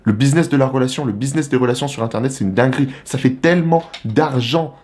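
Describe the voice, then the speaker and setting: A young man from the Republic of the Congo giving a casual, animated, slangy monologue to the camera as a vlogger. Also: medium pitch, quick pace. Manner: animated, slangy, rhetorical questions.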